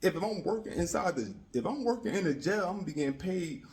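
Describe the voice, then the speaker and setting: A man's voice: high voice, very animated, fast talker, darkish tone. An American man giving casual, opinionated commentary in a slangy, streetwise way, repeating himself for emphasis and often checking that the listener follows. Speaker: YouTube commentator.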